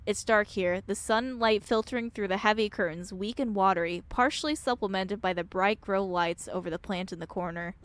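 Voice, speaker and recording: A woman streamer reading a game aloud and reacting in an expressive, casual, sweary way. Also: mid-range voice, moderate pace, few pauses, clean tone.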